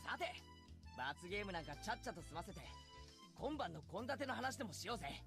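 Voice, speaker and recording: Cocky, loyal teen boy leader for a visual novel: animated, very quick, brash. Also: high voice, exaggerated voice. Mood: rallying. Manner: brash, rough boyish wording. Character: cocky, loyal teenage schoolboy, joking leader. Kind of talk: Japanese visual novel voice acting. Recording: game dialogue over clear music.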